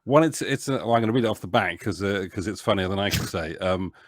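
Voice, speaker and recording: A man's voice: fairly low pitch, fast, no echo, studio quality. A man chatting casually as an interview guest, hesitant and rambling, often interrupting himself. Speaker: podcast guest, author and producer.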